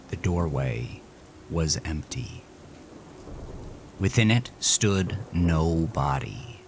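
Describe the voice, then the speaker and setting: Deep low guy reading a horror story, really slow and intense. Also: slight background noise. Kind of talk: scripted horror narration.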